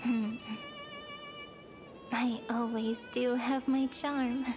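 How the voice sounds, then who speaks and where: An actress playing a dreamy, wistful young woman in a game cutscene, speaking poetically in a breathy, hushed voice that drifts into whispers. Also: a little high, little pitch movement, very slow. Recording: voice under loud string music.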